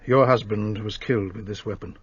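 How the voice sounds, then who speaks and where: An actor playing a polite French police commissary who explains the evidence. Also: male voice, on the low side, steady tone, fairly quick, husky, lo-fi sound. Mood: explaining. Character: Monsieur Bex, helpful French police commissary. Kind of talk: radio drama acting.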